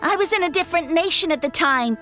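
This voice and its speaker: A woman's voice: very high-pitched, lively intonation, fast, bright, forceful tone, faint music behind, exaggerated voice. A woman voicing a smug, boastful little male mushroom creature in a video game, with a pompous, theatrical, taunting delivery. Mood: huffy, defensive.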